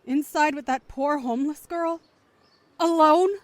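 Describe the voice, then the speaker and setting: A woman's voice: high-pitched, steady tone, measured pace. A woman acting a firm, wary, scolding middle-aged mother in an audio drama.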